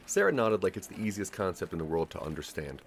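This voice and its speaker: A man narrating a scripted audio drama in the second person, with a measured storytelling delivery. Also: deep voice, big pitch swings, creaky, a little hiss or hum.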